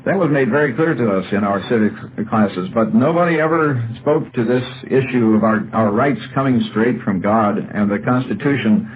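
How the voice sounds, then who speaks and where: An American man hosting a religious and political call-in show, speaking earnestly and with deliberate phrasing. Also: mid-range voice, background noise. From Iowa, United States. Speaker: radio call-in host. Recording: narrow tinny shortwave radio broadcast.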